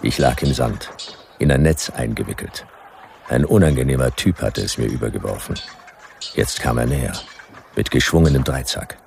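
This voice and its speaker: A man's voice: extremely low pitch, moderate pace, gravelly, darkish tone, a little hiss or hum. A man playing a hard-boiled private detective, narrating a radio play in dry, clipped first-person sentences. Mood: dry, laconic.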